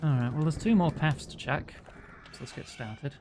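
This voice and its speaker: A man's voice: a little high, normal speed, noisy background. Chatty man streaming a game. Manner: joking, chatty, thinks aloud. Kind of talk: casual let's-play commentary. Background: game music.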